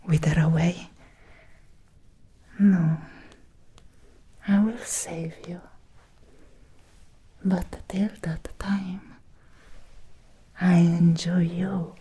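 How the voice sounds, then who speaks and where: A woman playing an obsessed, seductive Victorian vampire in an ASMR roleplay, whispering softly and slowly in an intimate, breathy voice. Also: on the low side, full character voice. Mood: devoted, possessive. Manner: slow, intimate, deliberate with long pauses. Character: obsessed, seductive Victorian female vampire, hungry yet restrained.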